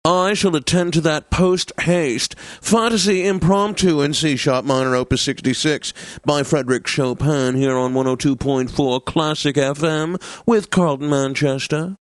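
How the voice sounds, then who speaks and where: An actor playing a pompous, snobbish classical radio DJ in a video game, theatrical and jovial, with flowery diction. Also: male voice, on the high side, steady tone, a little slow, few pauses, dry recording, lightly acted. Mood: playfully grand.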